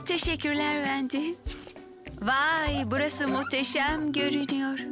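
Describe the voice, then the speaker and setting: A woman's voice: sits high, flat delivery, measured pace, over loud music. A woman voicing a kindly, playful animal keeper in a children's cartoon. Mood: friendly. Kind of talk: children's cartoon voice acting, Turkish dub.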